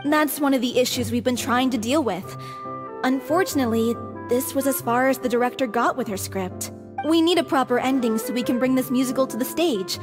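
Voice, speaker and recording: An earnest young woman of a theatre troupe in a video game, voiced by an actress. Her voice is high, and music plays behind her. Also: a little fast, lightly acted. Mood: earnest, wistful. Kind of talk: video game character acting.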